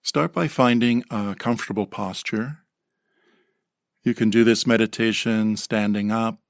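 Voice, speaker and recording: A man leading a guided meditation in a gentle, unhurried manner with pauses, recorded close to the microphone. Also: lowish voice, fairly even intonation, no room sound. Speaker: meditation teacher.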